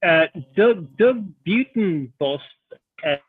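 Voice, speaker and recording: Irish man, high voice, casual chat, frequent laughs, dry phone-like audio. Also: expressive, slow, husky, no room sound. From Ireland. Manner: laughs often, stumbling restarts. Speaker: guest on a live stream. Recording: dry tinny phone-like line.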